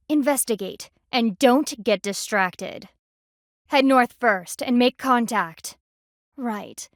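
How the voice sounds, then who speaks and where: A woman's voice: mid-range voice, no echo, studio quality. A woman voicing a stern, haughty panda army lieutenant in a video game, speaking in a formal, clipped, dryly disdainful way.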